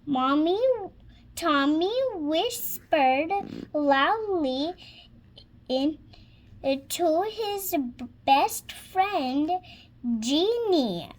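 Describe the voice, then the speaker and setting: A six-year-old girl reading a children's storybook aloud, hesitant and sounding out words as she practices. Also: high-pitched. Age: child, six years old.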